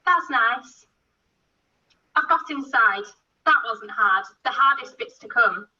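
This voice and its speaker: A woman's voice: on the high side, lively intonation, takes it slowly, sharp tone, exaggerated voice. A woman playing a chatty, good-natured young laundry lad who narrates a rhyming pantomime, on a lo-fi recording. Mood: matter-of-fact. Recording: dull lo-fi sound.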